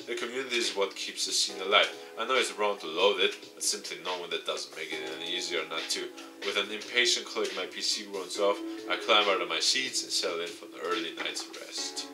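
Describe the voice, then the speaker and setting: A man, a gaming YouTuber, reads visual novel text aloud with his own asides, stumbling over words at times. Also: deep voice. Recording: music playing behind the voice.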